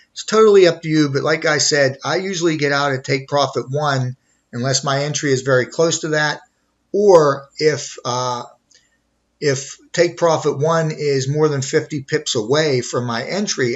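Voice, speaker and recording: A man's voice: on the high side, a bit bright, lo-fi sound. A man teaching in an informal screen tutorial, explaining things methodically step by step. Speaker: trading instructor.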